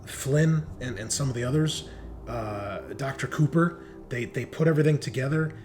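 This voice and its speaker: A man's voice: mid-range voice, darkish tone, a little hiss or hum, close to an everyday voice. A man playing a shaken, dazed crewman just out of cryosleep in a tabletop game, stammering through broken recollections. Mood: halting, uneasy. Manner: halting, stammering, fragmented recollection.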